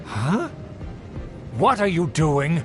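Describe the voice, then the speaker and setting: An actor playing a haughty, scheming young aristocrat villain in an anime video game, speaking in a pompous, grandiose way. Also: male voice, fairly high pitch, sing-song, drawn-out words, exaggerated voice. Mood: indignant. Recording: game music behind.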